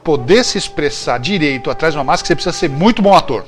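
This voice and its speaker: A man's voice: on the high side, expressive, racing. Colloquial Brazilian man over prominent music. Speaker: host. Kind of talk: casual informal chat about old TV series.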